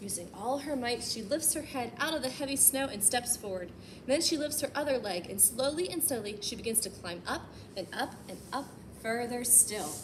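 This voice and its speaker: Woman doing kids storytime, kinda high voice, animated n chatty, lots of noise behind her. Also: moderate pace. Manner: animated, emphatic delivery aimed at young children. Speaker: library storytime host reading a picture book aloud. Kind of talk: expressive children's read-aloud with chatty asides.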